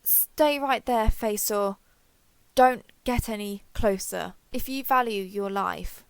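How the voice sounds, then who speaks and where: A woman voicing an excitable, wary alien explorer, thinking aloud in a wry, hesitant internal monologue for an audio roleplay. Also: medium pitch, takes it slowly, gravelly, clear, resonant tone, no room sound, rough recording. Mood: stern warning. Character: excitable, wary female alien explorer with blue-grey skin. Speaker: voice actor.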